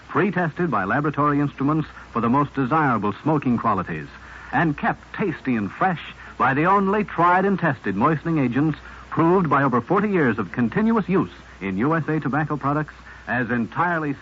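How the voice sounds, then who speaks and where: Male announcer, 1950s radio commercial, emphatic polished pitch, lively intonation, muffled old recording. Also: brisk, slight background noise. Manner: emphatic, polished salesman delivery. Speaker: advertising announcer.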